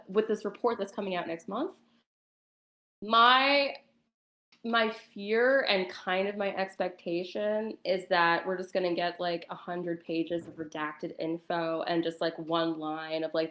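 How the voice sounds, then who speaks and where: A woman's voice: fairly low pitch, steady tone, unhurried, fairly bright tone. A woman guest chatting casually in an interview, thinking aloud a little hesitantly, with plenty of "like" along the way. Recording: echoey, roomy sound.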